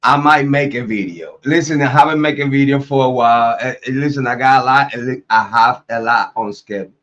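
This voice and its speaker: A man's voice: mid-range voice, brisk, a bit bright. A man hosting a casual livestream. He talks loosely, repeats himself and laughs often. His voice is still recovering from an illness. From Ohio, US. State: recovering from illness, voice coming back. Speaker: YouTube true crime host.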